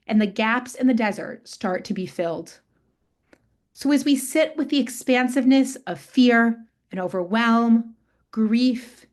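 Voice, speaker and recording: A woman hosting a webinar on a video call, reading a measured, prepared introduction. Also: on the high side. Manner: measured, reads from prepared text. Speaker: webinar host.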